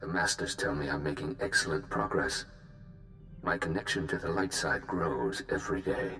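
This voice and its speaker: An actor voicing a remorseful, humbled Jedi in a video game, speaking slowly and earnestly. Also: male voice, extremely low pitch, pushed and bright, heavily acted. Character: remorseful male Jedi, grave, humbled and grateful. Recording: echoey voice over music.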